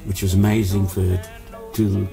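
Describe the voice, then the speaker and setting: A man's voice: low-pitched, flat delivery, a little slow, over music. A man, born in Hungary, telling stories as a musician guest in a casual interview, rambling with many fillers and restarts.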